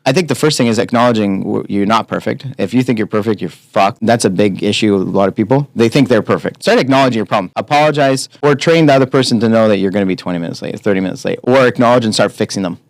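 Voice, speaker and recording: A man in his late thirties, a podcast guest chatting casually in a blunt, profane, animated way. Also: on the low side, fast, hardly any pauses, no echo. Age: 30s. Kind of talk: casual chat.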